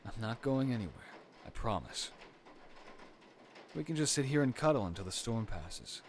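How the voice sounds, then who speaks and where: Young boyfriend, played by a male actor, mid-pitched and expressive, reassuring and gently teasing, intimate comfort audio with light ambient noise. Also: moderate pace. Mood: soothing, reassuring. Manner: soft reassuring talk with sighs and teasing.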